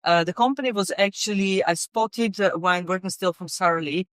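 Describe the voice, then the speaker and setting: A middle-aged woman from Serbia, speaking English as a guest in an interview, halting with many fillers and repeated words. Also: mid-range voice, dry recording, clean studio sound. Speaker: guest, company executive. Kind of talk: casual interview conversation.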